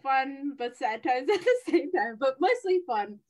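A woman's voice: high-pitched, expressive, unhurried, a little mellow, a little hiss or hum. A young woman hosting a student radio show, bubbly and laughing often; her first language is Tamil. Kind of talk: casual chat.

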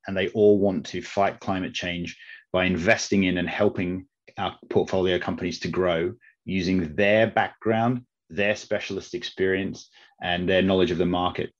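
Deep-voiced man with a faint Australian accent. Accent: Australian, softened by long UK residence. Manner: measured, with frequent restarts and fillers. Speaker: investment network CEO, guest. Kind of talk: podcast interview answers. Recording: slightly dull lo-fi audio.

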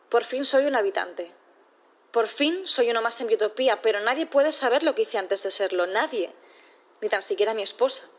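An actress playing an embittered, envious robot on trial, giving a measured, deliberate confession in an audio drama. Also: female voice, on the high side, dull audio, close to an everyday voice. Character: embittered robot defendant, envious, yearning to be human. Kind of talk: dramatic courtroom monologue in audio fiction.

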